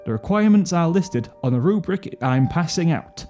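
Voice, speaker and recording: A man's voice: on the high side, expressive, normal speed, mellow, pitched above the performer's own voice, natural voice. A man voicing a businesslike school chemistry teacher in a story, giving the class matter-of-fact instructions. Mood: businesslike announcing. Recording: piano music under the voice.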